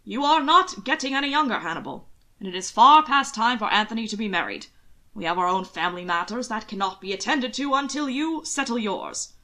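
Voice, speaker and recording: A woman voicing an overbearing older uncle in an audiobook story, booming and overly loud. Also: lively intonation, fairly quick. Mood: disapproving.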